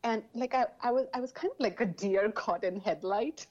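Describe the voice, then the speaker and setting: A woman who speaks English as a second language, chatting casually as a guest author, animated, laughing often and restarting her sentences. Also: medium pitch, moderate pace, dull audio. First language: not English. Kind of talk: casual interview chat.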